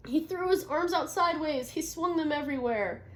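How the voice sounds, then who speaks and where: A woman reading a picture book aloud as a teacher, expressively, pausing to ask questions and confirm answers. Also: sits high. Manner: instructive, checking in with listeners by questions and affirmations. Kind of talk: interactive children's read-aloud, expressive storybook narration with questions. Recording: noticeable background noise.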